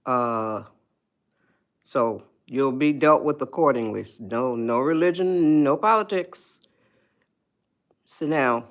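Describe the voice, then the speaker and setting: A woman in her eighties hosting a casual livestream, chatting slowly and greeting viewers by name. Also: low-pitched. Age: 80s. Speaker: livestream host. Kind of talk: casual livestream advice talk.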